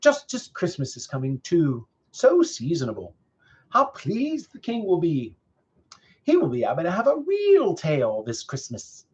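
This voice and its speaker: A man's voice: fairly high pitch, huge pitch swings, unhurried, highs cut off, voice pushed up. A man voicing storybook villagers in an exclamatory, theatrical manner. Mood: pleased. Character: gossiping villagers, pleased then anxiously pleading.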